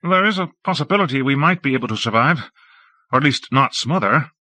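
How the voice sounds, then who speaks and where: A man's voice: medium pitch, sing-song, normal speed, pushed and bright, no echo, heavily acted. A man voicing a nervous young male apprentice who explains his idea eagerly. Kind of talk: audiobook character voice.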